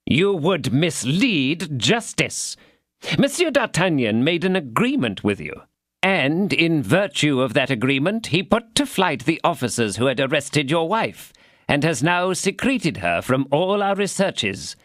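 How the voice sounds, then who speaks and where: A man's voice: a little high, big pitch swings, a bit bright, no room sound, studio quality, voice pushed up. A man voicing a stern, officious police commissary interrogating a prisoner. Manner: interrogating, officious, slow and emphatic.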